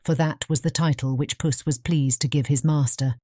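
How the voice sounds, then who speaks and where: Storybook narrator: British woman, deep mellow voice, dry close recording. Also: steady tone, normal speed, no room sound. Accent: British English. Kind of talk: scripted fairy-tale narration.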